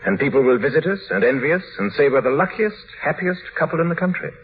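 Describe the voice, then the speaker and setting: Man quoting a cruel taunting wife, raised pitch. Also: lively intonation, fast, close to an everyday voice. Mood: mocking triumph. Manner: taunting, sardonic. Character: mocking, cruel wife quoted by her husband. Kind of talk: old radio drama acting. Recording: muffled, noisy vintage radio recording.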